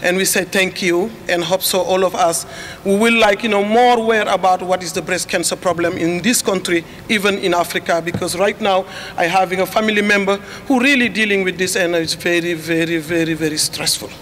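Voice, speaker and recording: A woman from Senegal with a Senegalese accent, giving an earnest, halting welcome speech. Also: lowish voice, fairly even intonation, a little hiss or hum. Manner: earnest, halting, searching for words. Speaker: chair of a community organization. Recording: echoey hall with faint clicks.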